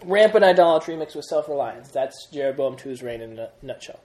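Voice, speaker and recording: Lively young man teaching a Bible class. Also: a little high, brisk. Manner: energetic, many restarts, quizzes the group. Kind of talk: classroom Bible lesson with questions.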